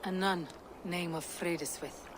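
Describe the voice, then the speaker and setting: An actress playing a battle-hardened Viking warrior woman in a video game, speaking in terse, measured, poetic phrases. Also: lowish voice, steady tone, noisy background, close to an everyday voice. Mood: grim, matter-of-fact. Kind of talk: dramatic video game dialogue.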